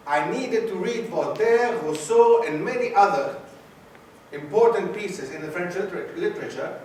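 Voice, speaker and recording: Male guest lecturer, fairly high voice, quizzes the crowd, recorded far off in a very reverberant hall. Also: a little hiss or hum. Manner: questions the audience, repeats key points for emphasis. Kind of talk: university society lecture.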